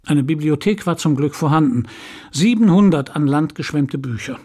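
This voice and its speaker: A man reading literary first-person prose with measured, deliberate phrasing. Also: a little high, lively intonation, warm tone, husky.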